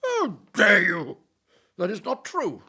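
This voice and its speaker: A pompous Elizabethan gentleman playwright from an audio play, played by an actor, with a gravelly creak in the voice. He talks slowly and formally, full of disdain and quick to take offence. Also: mid-range voice, huge pitch swings, exaggerated voice. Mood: indignant, offended. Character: haughty, easily offended Elizabethan male playwright.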